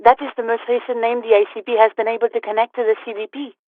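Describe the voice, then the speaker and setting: A woman's voice: on the high side, flat delivery, rapid-fire, no room sound. A woman playing a polite, businesslike police dispatcher in a video game, speaking formally and dryly through a radio filter. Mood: informative, dry. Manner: formal, courteous, clipped official delivery. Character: polite, businesslike female police dispatcher, patient but dry. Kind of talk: scripted video game voice lines. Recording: tinny, narrow-band two-way radio.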